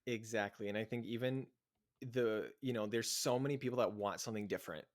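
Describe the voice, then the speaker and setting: A young man, a podcast guest, talking in an excited, chatty way, laughing often and filling his speech with "like". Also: fairly low pitch, brisk. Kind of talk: casual podcast interview.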